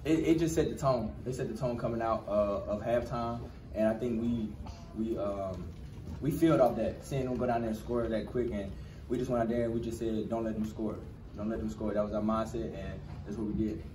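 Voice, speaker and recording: A young man in his twenties fields reporters' questions in a crowded press room, speaking quickly in a fairly low voice and leaning on relaxed slang. He tends to repeat his phrases as he talks. The sound is roomy and picked up from a distance, with steady background noise. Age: 20s. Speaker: college football player. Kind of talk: post-game press conference answers. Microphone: cluster of handheld press mics.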